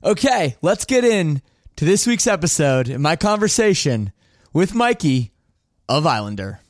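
A man's voice: on the high side, very animated, a little slow, a little hiss or hum. A man hosting a casual podcast, upbeat and rambling, often restarting his sentences. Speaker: podcast host.

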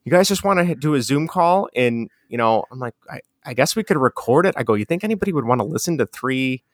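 A man with a strong Chicago accent, talking as a guest in a relaxed, rambling way with many restarts. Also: mid-range voice, fast talker, no echo, studio quality. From Chicago area. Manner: mellow, rambling with frequent restarts. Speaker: guest, educator and podcaster. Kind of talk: casual conversational interview.

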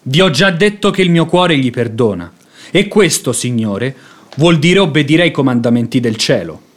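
An actor playing a sanctimonious, scheming devout beggar in a radio drama, speaking in a measured, unctuous, self-sacrificing way. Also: male voice, medium pitch, lively intonation, natural voice. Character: sanctimonious hypocritical male devout beggar, falsely humble and scheming. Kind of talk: theatrical Italian radio drama acting.

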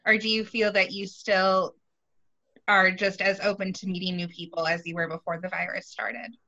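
A woman co-hosting an interview who asks measured, direct questions. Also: medium pitch, fairly even intonation, bright, forceful tone, dull audio. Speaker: interview co-host.

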